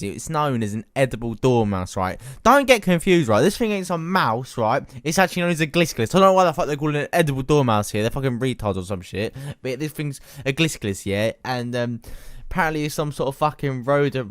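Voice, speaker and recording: A man's voice: mid-range voice, clear, resonant tone, no room sound, clean studio sound. A young British man telling comic anecdotes casually, in a slangy, sweary, matey way. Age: young adult. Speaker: YouTube storyteller.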